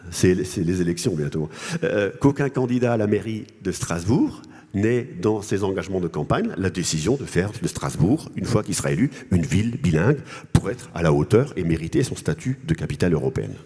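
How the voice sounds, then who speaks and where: A man from Alsace, a native Alsatian speaker, making his case as a panel guest in a halting, repetitive way. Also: deep voice, sing-song, warm tone, husky. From Alsace, France. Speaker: guest speaker, language association president. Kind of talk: panel interview, advocating argument with anecdotes. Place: congress hall. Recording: echoey large hall.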